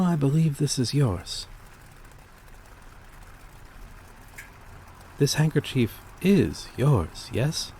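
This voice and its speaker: A man playing a gentle, courteous vampire in an intimate ASMR roleplay, speaking in a formal, hesitant, apologetic way. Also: mid-range voice, a little hiss or hum. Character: courteous, gentle male vampire stranger, kindly and old-fashioned.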